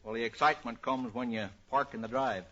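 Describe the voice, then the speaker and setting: A man's voice: mid-range voice, steady tone, close to an everyday voice. A man with an American accent playing a bumbling, stammering, cheerful country egg peddler in radio comedy. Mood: jokey, folksy. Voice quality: stammering, halting delivery. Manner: folksy, tongue-tangled, restarting words. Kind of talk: 1940s radio comedy acting. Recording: muffled, noisy old radio recording.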